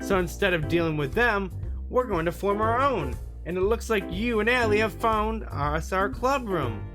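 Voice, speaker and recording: A man acts out a young college girl in a visual novel, pushy and cheerful. The voice is raised and the delivery is breezy and forceful, with music clearly behind it. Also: sits high, lively intonation, moderate pace, voice pushed up. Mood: breezy, confident. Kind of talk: visual novel character read aloud.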